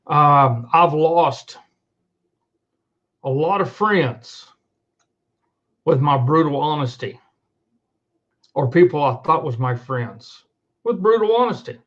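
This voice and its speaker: A man with a slightly high voice rants off the cuff as host of his own online show. He is blunt and sweary, hammering points home by repeating them. The sound is dull and lo-fi. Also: animated, slow, pauses often, fairly bright tone. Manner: blunt, profane, emphatic, repetitive. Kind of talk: unscripted monologue rant to camera. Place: indoors at a computer.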